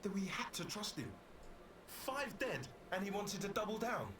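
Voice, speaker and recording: An actor playing a weary, dutiful, conflicted expedition officer recording a solemn journal in a video game. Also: male voice, high voice, big pitch swings, distant mic, background noise. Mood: bitter. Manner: grave, solemn, deliberate.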